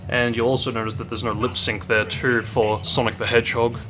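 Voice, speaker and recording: A man's voice: medium pitch, flat delivery, a little fast, music in the background. A man hosting a gaming video, giving casual, thoughtful and explanatory reaction commentary. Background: game cutscene soundtrack.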